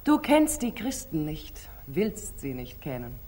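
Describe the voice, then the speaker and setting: An actress playing a shrewd, proud, devoted sister of a sultan, declaiming precisely in the theatrical style of 1940s German radio drama. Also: female voice, deep voice, sing-song, a little slow, dark tone, exaggerated voice. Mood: scornful. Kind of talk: 1940s German radio stage-classic acting.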